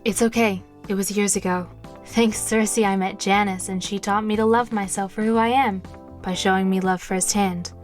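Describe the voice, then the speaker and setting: An actress playing a confident, tender witch who mentors with soothing, measured words in an audio drama. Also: medium pitch, steady tone, natural voice. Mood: reassuring. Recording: music playing behind. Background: music.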